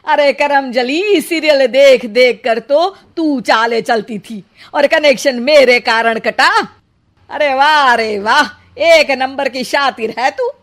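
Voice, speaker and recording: A woman's voice: high voice, slow, a bit bright. A woman voicing a nagging, quarrelsome mother-in-law in a cartoon, scolding and sarcastic. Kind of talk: cartoon voice acting.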